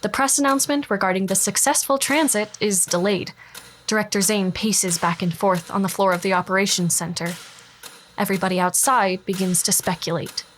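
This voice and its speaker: A woman's voice: mid-range voice, fairly quick, a bit bright, a little hiss or hum. A woman reading scripted narration for a sci-fi audio drama.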